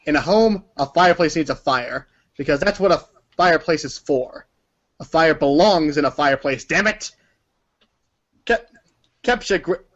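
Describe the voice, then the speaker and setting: A man's voice: on the high side, a bit bright, lo-fi sound. A man narrating a story aloud in a theatrical, dramatic and emphatic manner. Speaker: narrator of a group reading.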